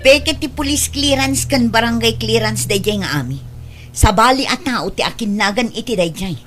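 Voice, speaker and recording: A woman's voice: a little high, lively intonation, moderate pace, a bit bright, soft background music. A woman playing a caring, sensible grown daughter who runs the household, in a radio drama. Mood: indignant.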